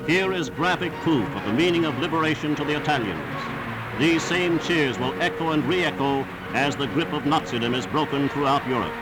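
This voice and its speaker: A man's voice: on the high side, normal speed, over loud music, low-quality recording. A man reading scripted 1940s newsreel narration in a formal, emphatic, declamatory manner on a vintage soundtrack.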